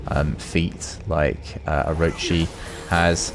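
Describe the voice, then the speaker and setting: A man's voice: extremely low pitch, flat delivery, slow pace, noisy background. A man hosting a gaming video, giving casual, unscripted commentary and musing aloud as he goes. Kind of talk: casual off-the-cuff gameplay commentary.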